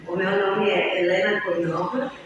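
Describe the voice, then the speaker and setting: A woman's voice: medium pitch, fairly even intonation, lo-fi sound. Woman in an echoey room. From Bulgaria. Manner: thoughtful, pausing often with drawn-out fillers. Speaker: musician interviewee. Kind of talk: reflective personal interview. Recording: echoey, long-reverb room, mic far off, faint hiss.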